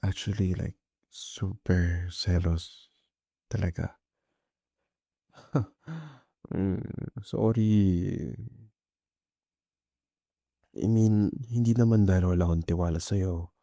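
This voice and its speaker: Man acting jealous soft-hearted boyfriend, Filipino accent, very dark mellow tone, dry close-up sound, hesitant, intimate ASMR roleplay. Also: sits low, fairly even intonation, slow pace, pauses often, no room sound, heavily acted. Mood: shy, vulnerable. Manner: soft, hesitant, Taglish code-switching. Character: jealous, clingy, soft-hearted young boyfriend, playfully insecure.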